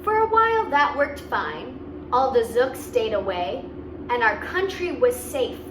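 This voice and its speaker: A woman's voice: fairly high pitch, sing-song, unhurried. A woman reading a children's story aloud expressively, with simple explanatory asides for young listeners. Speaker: story reader and host. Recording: roomy, distant mic, clear background noise.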